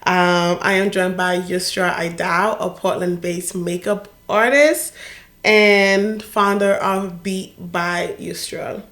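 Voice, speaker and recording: A woman's voice: fairly low pitch, steady tone, slow pace, fairly bright tone. Casual young woman host in echoey room. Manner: casual, slangy, stumbles over words. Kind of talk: casual interview.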